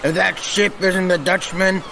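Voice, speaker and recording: An actor playing a stubborn, superstitious old sailor who speaks in emphatic salty dialect, in old-time radio style. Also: male voice, high-pitched, level tone, unhurried, vocal fry, background noise. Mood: insistent.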